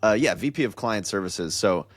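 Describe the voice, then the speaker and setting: A middle-aged man with a very deep voice hosts a loose, jokey chat. He talks in excitable bursts and rambles through his questions, and the sound is close and dry. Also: normal speed, no echo. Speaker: podcast host. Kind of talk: casual chat.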